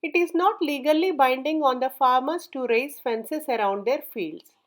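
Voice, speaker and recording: A woman narrating a children's story from a script in a measured, clearly enunciated read-aloud manner. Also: high voice.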